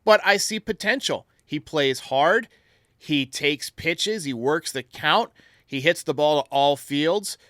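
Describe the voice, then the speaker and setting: A man's voice: fairly high pitch, slow pace, a bit bright, dry recording, studio quality. A man hosting a podcast, answering fan voicemails with emphatic, opinionated sports talk. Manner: emphatic, argumentative.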